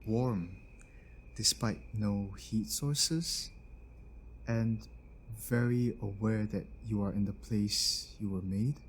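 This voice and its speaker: A man's voice: on the low side, slow, a little hiss or hum. A man playing a kindly, protective engineer and inventor in an audio roleplay, talking in a casual, reassuring way. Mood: tender.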